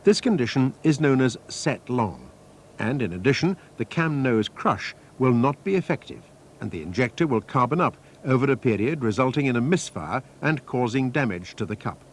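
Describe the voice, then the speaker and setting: Male narrator, scripted instructional delivery, highly varied intonation, precise and measured, faint noise of an archive film soundtrack. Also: sing-song. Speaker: narrator of a technical training film.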